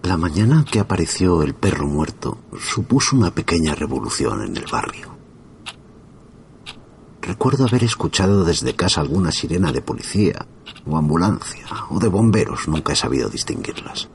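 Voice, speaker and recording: Deep-voiced man narrating a horror tale. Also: very animated, creaky, a little hiss or hum. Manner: slow, hushed, suspenseful. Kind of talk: scripted horror story narration.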